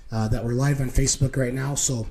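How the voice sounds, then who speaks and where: A man with a slightly hoarse voice, chatting informally as host of a casual live show. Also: mid-range voice, fairly even intonation, fairly quick, over a noisy crowd.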